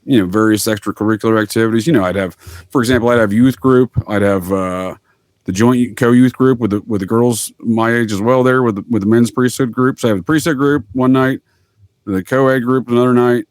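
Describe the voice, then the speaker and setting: A man's voice: medium pitch, a little fast, no echo. A man from the United States explaining things casually as a podcast guest, with wry, folksy asides.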